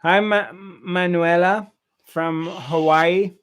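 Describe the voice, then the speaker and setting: A man's voice: high voice, steady tone, takes it slowly, smooth. A man hosting a casual online group chat, often repeating and stammering over his words. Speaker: host, spiritual teacher. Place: online video call.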